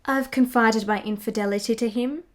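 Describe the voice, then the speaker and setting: A woman's voice: a little high, fairly even intonation, moderate pace, close to an everyday voice. Actress as secret lover in a 19th-century romance radio play, female, tender and self-possessed, elegant formal diction. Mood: confiding, tender. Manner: genteel, formal period phrasing. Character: tender, adoring 19th-century Italian mistress, secretive and assured.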